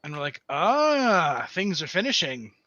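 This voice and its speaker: A man's voice: on the high side, very animated, measured pace, bright, forceful tone, no room sound. A man chatting casually about gaming as a podcast guest, on a dull, dry lo-fi voice channel.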